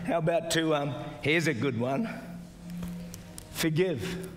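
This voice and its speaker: An older man preaching a sermon as pastor, exhorting the congregation, sometimes shouting and mimicking doubters in a higher voice. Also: slow pace. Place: large church auditorium with stage. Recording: live church hall reverb with music. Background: soft music and congregation responses.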